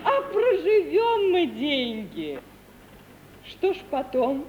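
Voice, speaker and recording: A young woman acting a gentle, practical, anxious girl in love in a theatrical mid-century radio play. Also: sits high, wildly swooping pitch, slow pace, heavily acted. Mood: anxious, tender. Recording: muffled, noisy 1950 radio play recording.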